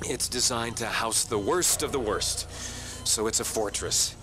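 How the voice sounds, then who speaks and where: An actor playing a fearful male survivor in a video game, speaking in a halting, haunted manner. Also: mid-range voice, natural voice, poor audio. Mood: grave, uneasy. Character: shaken, fearful male survivor confessing and explaining. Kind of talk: dramatic video game monologue. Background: loud music.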